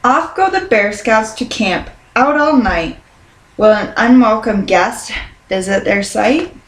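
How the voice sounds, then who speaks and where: Lady reading a kids book out loud, slow n expressive, kinda echoey room. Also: a little high, slight background noise. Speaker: storybook reader. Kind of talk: children's picture book read-aloud. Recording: roomy, echoing sound.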